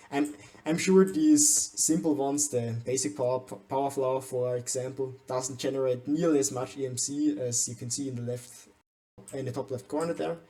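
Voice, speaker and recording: Hesitant young Austrian man, dark voice. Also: mid-range voice. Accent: Austrian German. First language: German. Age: young adult. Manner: hesitant, frequent restarts and stumbles. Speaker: gaming channel host. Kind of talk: game base tour voiceover.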